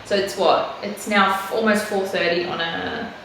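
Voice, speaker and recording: A woman's voice: mid-range voice, fairly even intonation, normal speed, fairly bright tone, a little hiss or hum. A young woman co-hosting a casual podcast, rambling and swearing casually as she chats. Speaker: podcast co-host. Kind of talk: casual chat. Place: living room. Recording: echoey room, mic at a distance.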